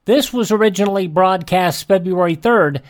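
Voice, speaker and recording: A man's voice: sits high, moderate pace. Male classic radio program host. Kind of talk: scripted program introduction.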